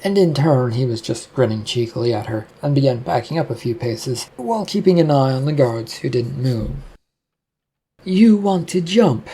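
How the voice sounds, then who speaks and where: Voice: medium pitch, expressive, a little fast, few pauses, mellow, breathy edge. A narrator reading a scripted fiction story aloud, telling the action between lines of character dialogue.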